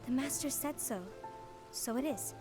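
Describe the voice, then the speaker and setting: A woman playing a gentle, earnest young leader in a video game cutscene, thoughtful and soft-hearted. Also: high-pitched, unhurried, music in the background. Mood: pensive.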